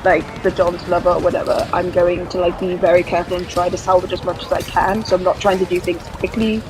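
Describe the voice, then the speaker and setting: High-pitched male tabletop player. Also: little pitch movement, fast talker. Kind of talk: casual game chat. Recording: clear background noise behind voice.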